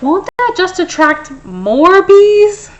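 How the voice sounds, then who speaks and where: A woman plays a fearful, stubborn storybook character who hates bees. The voice is high and swings up and down dramatically as it protests and doubts. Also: big pitch swings, takes it slowly, dull audio, slight background noise, heavily acted. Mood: worried. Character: stubborn, fearful bee-hater in a children's picture book.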